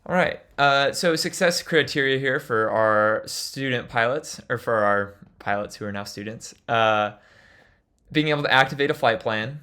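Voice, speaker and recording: A man's voice: mid-range voice, normal speed, bright, forceful tone, clear, resonant tone. A man giving an instructional lecture as a flight instructor, explaining things in an informal way.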